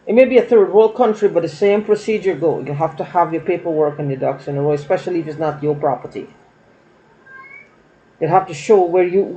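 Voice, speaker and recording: A woman's voice: low-pitched, dark tone, a little hiss or hum. A woman vlogging in a rambling, aggrieved monologue, circling back and repeating herself. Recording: dull lo-fi recording.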